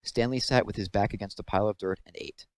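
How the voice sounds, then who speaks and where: A man with a fairly low voice reads a children's novel aloud. He is the narrator, delivering scripted prose as a story. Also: fairly even intonation, quick pace.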